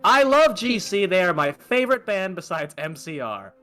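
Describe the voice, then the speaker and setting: A man voicing an excitable, dramatic teenage goth girl with exaggerated, gushing delivery as he reads fan fiction. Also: sits high, normal speed, faint music behind, pitched above the performer's own voice, full character voice. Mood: gushing, indignant. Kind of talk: reading amateur fan fiction aloud.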